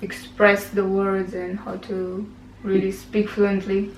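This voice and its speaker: A woman's voice: medium pitch, completely flat delivery, takes it slowly. A young woman with a Romanian accent hosts a casual interview in a mid-range voice. She keeps a friendly, easy flow and fills the gaps with mm-hmms. The room is echoey, with faint noise underneath. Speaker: host, YouTuber.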